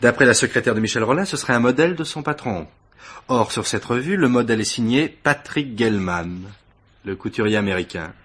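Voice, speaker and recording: A man's voice: mid-range voice, expressive, a little fast, a bit bright, husky. A man acting an eager, deferential police assistant in a French radio mystery play, explaining his deductions with proud, methodical emphasis. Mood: keen, insistent.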